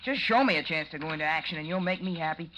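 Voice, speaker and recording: A man's voice: a little high, expressive, fast, pushed and bright. An actor playing an impatient, wisecracking boy sidekick in a 1940s radio drama. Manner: eager, slangy, sarcastic. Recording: muffled, hissy old radio recording.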